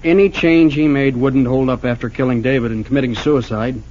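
An actor playing a cool, composed American heir, the calmer brother, faintly sardonic, in an old radio drama. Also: male voice, medium pitch, a little fast, natural voice. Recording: muffled 1940s-50s radio recording.

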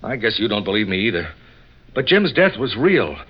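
Scared earnest young fella, melodramatic, pitch all over, muffled old radio drama. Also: male voice, sing-song, moderate pace, husky. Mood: earnest, troubled. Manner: agitated, melodramatic. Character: frightened, earnest young man reporting a murder. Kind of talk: 1940s radio drama acting.

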